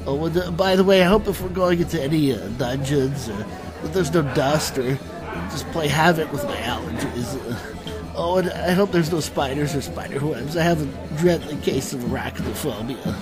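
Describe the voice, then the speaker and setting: An actor playing a fussy, pedantic sage and inventor in a comic fantasy audio drama, halting, long-winded and pompous. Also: male voice, on the high side, crowd noise, natural voice. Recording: music playing behind the voice.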